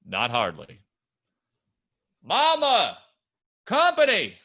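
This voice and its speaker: An actor reading the part of a cocky, teasing young farm son in a staged play reading. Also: male voice, sits high, huge pitch swings, drawn-out words, pushed and bright, exaggerated voice. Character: Ray, brash farm son, young man.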